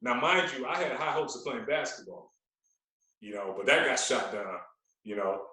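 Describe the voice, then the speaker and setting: A man's voice: medium pitch, expressive, normal speed, bright, forceful tone. An American man in his forties from Florida, a radio personality chatting casually as a podcast guest and repeating words for emphasis. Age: 40s. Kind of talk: casual interview storytelling. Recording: very echoey, roomy sound.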